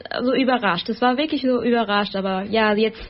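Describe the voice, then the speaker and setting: A woman's voice: a little high, fairly quick. A young woman from Ecuador, a radio colleague, talking casually and hesitantly in German with many fillers and restarts. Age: young adult. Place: radio studio. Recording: dull lo-fi radio sound.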